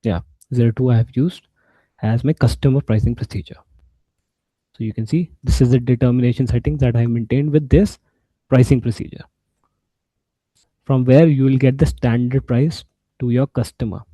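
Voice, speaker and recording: A man giving an informal software walkthrough, explaining step by step in a halting way. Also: medium pitch, steady tone, dry recording, rough recording. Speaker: SAP instructor.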